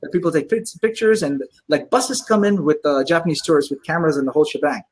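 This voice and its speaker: A man who owns a pizzeria, telling stories as a guest in a casual interview, animated and full of fillers. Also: on the high side, fast. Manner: animated storyteller, many fillers.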